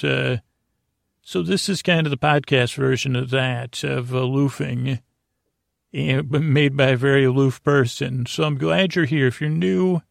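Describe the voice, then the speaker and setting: A man with a creaky voice hosting a bedtime podcast in a lulling, friendly way, meandering and halting through tangents. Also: mid-range voice, no echo, clean studio sound. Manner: meandering, halting, tangent-prone, self-interrupting. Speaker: podcast host. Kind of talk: rambling bedtime monologue to help listeners sleep.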